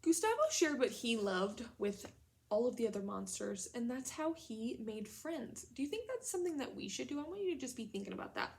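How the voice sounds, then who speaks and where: A woman's voice: fairly high pitch, expressive, fairly quick, creaky, darkish tone. A woman teacher leading a read-aloud lesson for young children, speaking in simple, instructional phrases and questions. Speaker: elementary school teacher.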